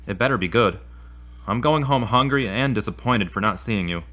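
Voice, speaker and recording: A man's voice: on the low side, moderate pace, pushed and bright. An actor playing an anxious, grumbling man kept waiting in an audio drama, plainspoken and fretful. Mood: annoyed, concerned.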